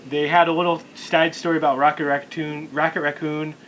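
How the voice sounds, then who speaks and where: A man's voice: a little high, level tone, a little fast, a bit bright, low-quality recording. A man hosting a podcast in casual chat, swearing now and then. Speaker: podcast host. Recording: clear background room noise.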